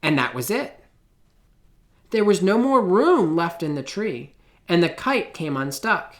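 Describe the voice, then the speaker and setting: Expressive man reading with lively, swooping pitch. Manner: expressive, theatrical storytelling with deliberate pauses. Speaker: picture book reader. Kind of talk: children's storybook read-aloud.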